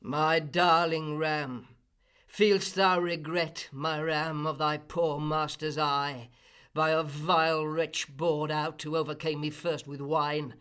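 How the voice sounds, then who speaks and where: A savage one-eyed giant bellows his words slowly and heavily, performed by a man reading an epic aloud. The delivery is loud and drawn out, sitting a little high for a male voice. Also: little pitch movement, smooth. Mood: mournful.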